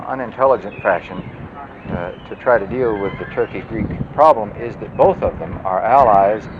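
A man campaigning for office and answering a voter's question in measured political phrasing, with a few stumbles. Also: lowish voice. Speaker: political candidate. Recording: lo-fi outdoor recording with street noise.

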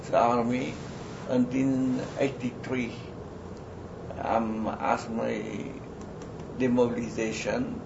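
An elderly Vietnamese-accented man, French his first language, talks slowly and haltingly with a gravelly edge. His pauses are filled with "uh" and repeated starts. The recording is dull and lo-fi, with a steady hiss of noise underneath. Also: medium pitch. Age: older. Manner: slow, halting, many restarts and fillers. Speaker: interviewee, retired army surgeon. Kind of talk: oral history interview. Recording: lo-fi noisy recording.

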